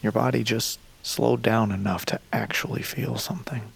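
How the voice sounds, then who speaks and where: An actor playing a gentle, steady counselor in an audio drama, speaking in a soothing, unhurried, soft way. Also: male voice, lowish voice, full character voice. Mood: calm, reassuring. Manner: soothing, unhurried, often softly breathy. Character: gentle, steady male counselor guiding breathing exercises.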